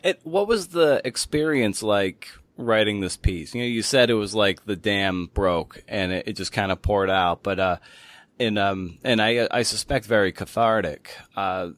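A man hosting a podcast interview, asking questions conversationally in halting, circling phrases with repeated words. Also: on the low side, a bit bright, dry recording.

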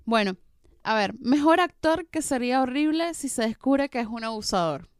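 Venezuelan woman chatting about tv, fairly high smooth voice, dry close sound. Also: normal speed, no room sound. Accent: Venezuelan Spanish. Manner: animated, laughing, exclamatory. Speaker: podcast co-host. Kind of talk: casual chat about TV series.

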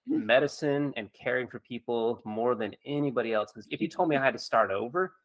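A man's voice: medium pitch, fairly quick, poor audio. A man hosting a podcast interview, a surgeon with a friendly, encouraging and jokey manner. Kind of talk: conversational interview.

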